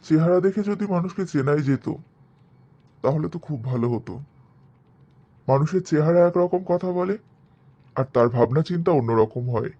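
A man's voice: fairly high pitch, animated, moderate pace, long pauses, warm tone, lo-fi sound. A man voicing an earnest, wounded grown-up son, a young village doctor, in a dramatic cartoon story. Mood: brooding. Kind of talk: Bengali cartoon story dialogue.

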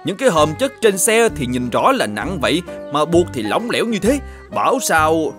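A man voicing a grumpy, nagging older shoemaker in an animated folk tale, muttering and exclaiming as he talks. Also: fairly high pitch, moderate pace, faint music behind. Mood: scornful. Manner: exclaiming, muttering to himself, scolding. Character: grumpy, nagging older shoemaker husband, scornful and quick to scold.

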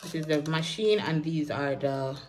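A young Jamaican woman chats easily into her phone camera at home, her voice sitting on the lower side for a woman. She talks loosely and relaxed and drifts into patois here and there. A faint hiss sits under the recording. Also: normal speed. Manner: chatty, relaxed, slips into patois. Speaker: vlogger. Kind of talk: casual vlog chat to camera. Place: small home bathroom and bedroom, indoors. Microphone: phone camera at arm's length.

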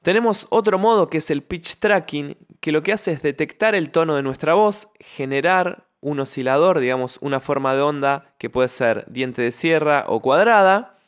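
Young man with a slightly high voice. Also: moderate pace, hardly any pauses. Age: young adult. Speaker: music production tutorial host. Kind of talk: explanatory software tutorial. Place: small home studio with foam panels. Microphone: close studio microphone on a stand, with headphones.

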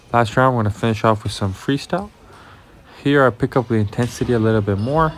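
A young man with a cold narrates his boxing and conditioning routine over the footage. His voice sits a little low, and he coaches viewers in a steady, instructive way, with a faint hiss behind him. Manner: instructive, addresses viewers as guys. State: has a cold, congested. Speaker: amateur boxer and fitness vlogger. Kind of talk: voice-over workout walkthrough.

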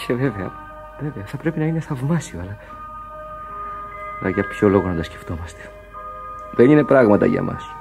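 An actor playing a loyal, gruff sailor who comforts his friend in a Greek radio drama, earnest and soothing. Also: lowish voice, expressive, pauses often. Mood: reassuring. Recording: radio play with music underneath. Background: music.

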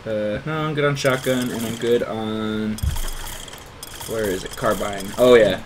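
A man's voice: on the low side, fairly even intonation, measured pace, background noise. A man playing a video game and chatting with friends, joking casually in a crude, goofy way. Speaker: video game player in a group let's play.

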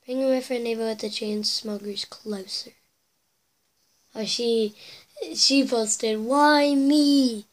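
A woman's voice: a little high, fairly even intonation, takes it slowly. Teen girl with a cracky, fading voice. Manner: rambling, with frequent whispered asides. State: losing her voice, a bit cracky. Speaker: YouTuber reading viewer comments. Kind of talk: casual chat to camera. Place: bedroom indoors.

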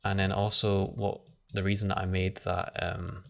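A man with a low, gravelly voice walks through a software tutorial at his computer. He talks in a flat, relaxed way, thinking aloud and restarting his sentences as he goes. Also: normal speed. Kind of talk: screen-recorded software tutorial.

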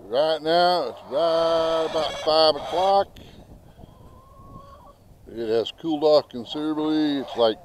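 A man's voice: on the high side, slow pace, pauses often. A middle-aged man with an American accent chatting casually and folksily in a homestead vlog, filming himself outdoors on a handheld camera. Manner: folksy, chatty, talks to his birds. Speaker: homestead vlogger. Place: outdoors in a snowy farmyard. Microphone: handheld camera at arm's length. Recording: noisy outdoor camera audio, birds clucking.

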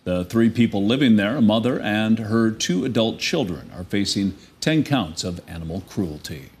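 A man reading local news as a co-anchor in a television studio, with a crisp, formal delivery. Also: fairly low pitch, expressive, normal speed, darkish tone.

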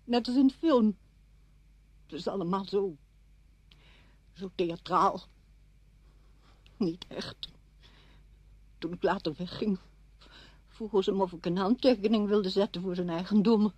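A brittle, grieving widow in a radio play. Her voice is husky and sits dry and close, with a pointed, halting delivery full of bitterness. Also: medium pitch, a little mellow, dry recording. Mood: numb, grieving. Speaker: radio drama actress. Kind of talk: Dutch radio drama acting.

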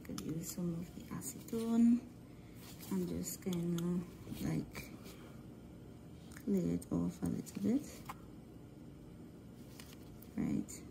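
A woman from Guyana with a mixed Guyanese-Trinidadian accent, talking through a nail art tutorial in a relaxed, halting way with many fillers, often dropping to a near whisper. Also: lowish voice, creaky, background noise. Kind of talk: casual voice-over tutorial.

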